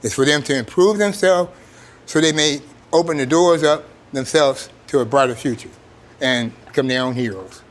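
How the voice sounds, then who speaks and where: A man's voice: mid-range voice, normal speed. A man speaking as a trainer in an informal workshop, plain-spoken and repeating phrases for emphasis. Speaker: trainer, program coordinator.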